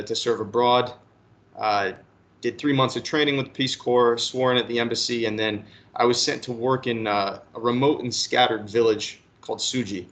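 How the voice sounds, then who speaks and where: A man's voice: medium pitch, a bit bright. A man in his thirties giving an earnest, prepared talk on a webinar panel, often restarting his phrases as he speaks. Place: webinar call.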